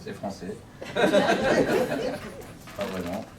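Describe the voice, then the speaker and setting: A man in his forties with a lowish voice gives a short, slightly self-mocking introduction as a local candidate. The room is echoey, the mic distant, with clear noise behind. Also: huge pitch swings, extremely slow. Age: 40s. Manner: brief, a bit self-mocking. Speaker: municipal list candidate.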